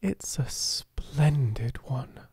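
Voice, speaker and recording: A gentle little girl from a storybook, acted out by a man, speaking softly and half in a whisper. She sounds imaginative and a little awed, with the pitch lifted above a narrator's register. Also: very slow, no echo, clean studio sound, heavily acted. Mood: hushed awe. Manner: soft, breathy, half-whispered. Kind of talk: audiobook character dialogue.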